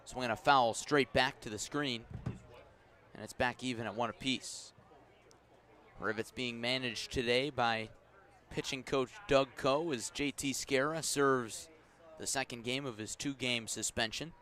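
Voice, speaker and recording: A man's voice: mid-range voice, fairly even intonation, unhurried, sharp tone. Man calling baseball play-by-play on radio. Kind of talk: live radio baseball commentary.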